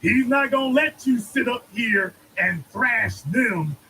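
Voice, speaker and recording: A man's voice: very high voice, expressive, takes it slowly, sharp tone, slight background noise, low-quality recording. A man giving an emphatic political monologue, preaching and repeating lines for effect. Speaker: commentator.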